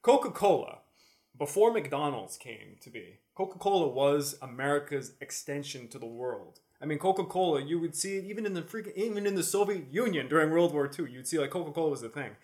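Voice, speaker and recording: A man's voice: fairly high pitch, animated, a little fast, darkish tone. A man co-hosting a podcast, chatting casually about history and explaining things in loose, run-on asides. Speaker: podcast co-host answering history questions.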